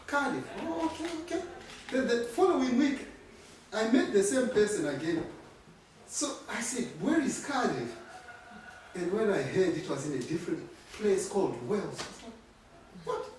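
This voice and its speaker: Middle-aged man from Zimbabwe, storyteller, fairly high voice, heard from a distance in a very echoey room. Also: slight background noise. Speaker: storyteller at a community workshop. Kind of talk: personal storytelling. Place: community centre room.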